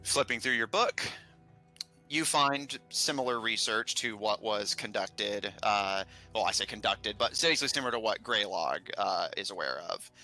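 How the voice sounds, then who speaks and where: A man narrating a tabletop game as game master, explaining lore in measured, hesitant phrases. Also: lowish voice, sharp tone, low-quality recording. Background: background music.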